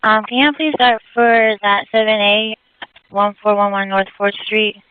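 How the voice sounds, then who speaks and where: Through a phone-like, band-limited police radio, a woman speaks in short procedural phrases. Her voice is smooth and clean at a middle pitch. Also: steady tone, a little slow, no room sound. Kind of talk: terse police radio traffic.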